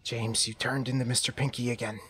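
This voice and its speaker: A man's voice: medium pitch, little pitch movement, fairly quick, bright, forceful tone, slight background noise, voice pushed down, close to an everyday voice. A man voicing a timid, polite, falsely cheery character, stammering with fussy, prim nervousness in an improvised game roleplay. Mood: anxious.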